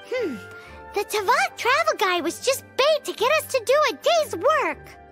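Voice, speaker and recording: A woman voicing a tiny childlike fairy companion in a video game, chirpy, cheeky and quick to exclaim. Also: very high voice, big pitch swings, fairly bright tone, heavily acted. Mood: suspicious. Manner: childlike, third-person self reference, exclaiming. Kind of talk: video game character acting. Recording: clear music behind the voice.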